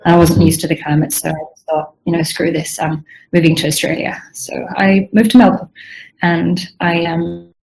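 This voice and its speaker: A young woman speaks in a fairly low register with a lot of vocal fry. Her throat sounds a little strained and in need of clearing as she talks informally about her design job, as an interviewee would. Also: level tone, normal speed, a little mellow, a little hiss or hum, low-quality recording. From Sweden and Southern Africa. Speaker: UI and UX game designer being interviewed. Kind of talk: informal career interview, explaining own work.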